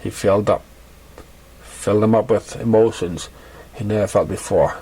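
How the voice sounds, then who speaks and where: A man narrating scripted fiction as an amateur reader, halting and sometimes stumbling over his words. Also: on the low side, warm tone, breathy edge, rough recording.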